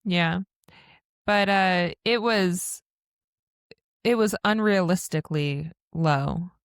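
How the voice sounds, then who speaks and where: Low-voiced woman podcast co-host. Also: slow pace, clean tone, no room sound, studio quality. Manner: relaxed, conversational, thinks aloud with fillers. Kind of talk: casual chat.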